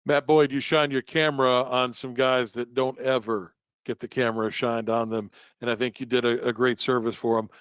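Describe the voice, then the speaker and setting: A man's voice: mid-range voice, level tone, moderate pace, fairly bright tone, smooth, no room sound, studio quality. A man hosting a live online panel, addressing guests and asking them for their closing words. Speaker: panel host.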